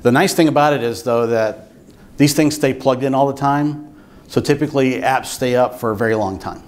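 An older man giving an informal technical presentation at a meetup, explaining things in a measured way. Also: mid-range voice, darkish tone. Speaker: software engineer giving a talk. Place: meetup room with seated audience, indoors.

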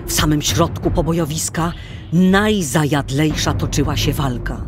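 A woman's voice: low voice, normal speed, over music. A woman narrating an old tale as scripted audio drama, in a solemn, theatrical manner.

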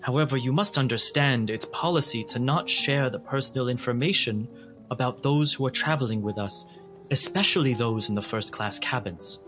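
A man's voice: mid-range voice, normal speed, natural voice. Guy doing a stuffy polite ship officer for a tabletop rpg, guarded, very formal, music behind.

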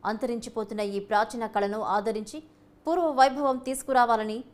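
A woman's voice: a little high, fairly quick. A woman reading scripted narration for a documentary-style news feature.

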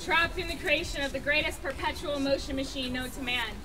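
A young woman reciting impassioned, deliberate spoken word poetry as a youth activist on an outdoor rally stage. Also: high voice, steady tone. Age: young adult. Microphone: stage microphone at a podium. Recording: distant outdoor PA, music behind.

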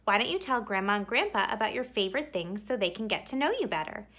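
A woman reading a children's picture book aloud expressively, with theatrical emphasis and drawn-out words. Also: medium pitch, big pitch swings, a bit bright. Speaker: read-aloud storyteller.

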